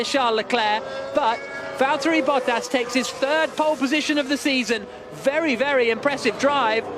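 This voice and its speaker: A man giving live motor racing commentary with an excited, urgent play-by-play delivery. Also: very high-pitched.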